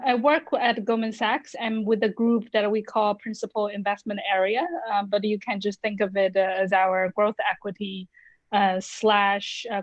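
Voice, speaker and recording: A woman investor speaking on an online panel over a video call, measured and filler-heavy. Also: medium pitch, no echo, lo-fi sound. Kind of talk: casual panel talk.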